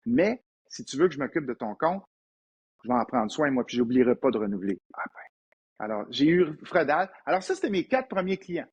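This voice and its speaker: A man in his fifties with a Québécois accent, an entrepreneur guest telling lively anecdotes in a casual interview. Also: medium pitch, fairly quick, breathy edge, muffled recording. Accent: Québécois French. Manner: animated anecdotal storyteller, frequent restarts.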